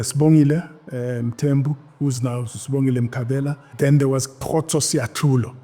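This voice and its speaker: A South African man in his sixties recounting his own history in an interview, firm and deliberate. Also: mid-range voice, mellow, breathy edge. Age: 60s.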